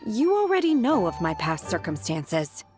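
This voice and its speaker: A woman plays a blunt, awkward young disciple in a video game. She delivers everything deadpan and formally in a mid-pitched voice, with faint music. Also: normal speed, lightly acted.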